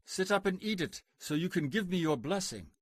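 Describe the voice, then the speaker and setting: A desperate grown son , as a man plays him, begging in a strained voice pitched far above the reader's own. His pitch leaps about as he pleads, heard dry and close in a storybook reading. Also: lively intonation, normal speed, no echo. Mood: pleading.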